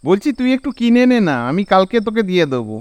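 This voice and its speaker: A man's voice: high-pitched, sing-song, a little fast, no room sound. A man with a Bengali accent voicing an easygoing, hesitant young village man in a cartoon. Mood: casual, defensive. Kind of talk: cartoon dialogue. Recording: clear background noise.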